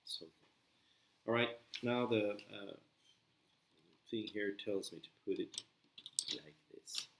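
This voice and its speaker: Swedish man, low-ish voice, chatty live-streamer, echoey home room, pieces clicking. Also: takes it slowly, long pauses, a little hiss or hum. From Sweden. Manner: chatty, rambling, reads and answers viewer comments. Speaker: livestream host building a model kit. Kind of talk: casual live-stream chat while building.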